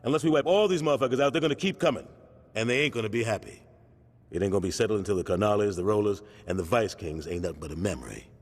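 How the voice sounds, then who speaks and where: A man's voice: lowish voice, animated, racing. An actor playing a stern, calculating street gang leader, commanding his crew in slang in a video game cutscene. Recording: long hall reverb.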